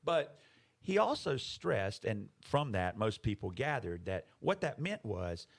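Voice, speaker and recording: A man's voice: on the low side, big pitch swings, unhurried, gravelly. A man co-hosting a podcast, explaining ideas in casual conversation, emphatic and fond of rhetorical questions. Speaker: podcast co-host.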